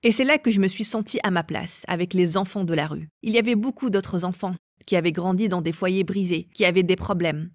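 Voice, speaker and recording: A woman's voice: fairly low pitch, brisk, hardly any pauses, dry recording, clean studio sound. A woman from England telling her own life story as an interview guest. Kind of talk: personal testimony in an interview.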